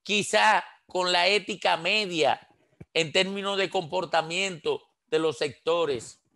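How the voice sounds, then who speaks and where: A man's voice: high voice, slow pace, gravelly, sharp tone. A man in his sixties with a Dominican accent, giving impassioned political commentary over a headset on a video call, full of rhetorical questions. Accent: Dominican Spanish. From Dominican Republic. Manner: emphatic, rhetorical questions, gestures while talking. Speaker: political commentator on a radio panel. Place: small indoor room.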